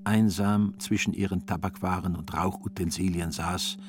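A man narrating a literary radio play in a wry, deliberate manner with theatrical emphasis. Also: sits low, steady tone.

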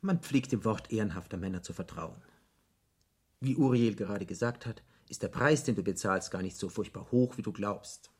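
Husky, indignant devil. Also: male voice, fairly low pitch, normal speed, darkish tone. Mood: persuasive. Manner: rhetorical, emphatic, elaborate phrasing. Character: male devil, eloquent, indignant, persuasive tempter. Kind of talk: radio drama acting.

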